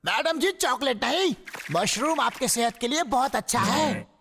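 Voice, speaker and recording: A man's voice: very high-pitched, expressive, moderate pace, fairly bright tone, a little hiss or hum, heavily acted. A man voicing a protective, devoted male shark in a Hindi cartoon dub, earnest and pleading. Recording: music behind the voice.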